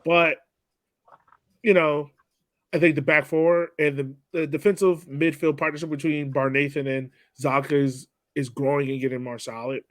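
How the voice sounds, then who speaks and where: A man with a slightly high voice talks as a podcast co-host, in casual sports analysis. The sound is very dry, with no room around it, and his delivery is conversational and loose. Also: little pitch movement, normal speed, fairly bright tone, dry recording. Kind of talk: casual soccer post-game chat.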